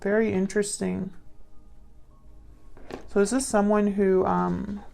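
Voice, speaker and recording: Woman, mid-pitched, gravelly vocal fry, casual tarot reading, musing pauses, thinking aloud. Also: level tone, warm tone, soft background music. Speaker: tarot reader. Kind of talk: casual intuitive card reading to viewers.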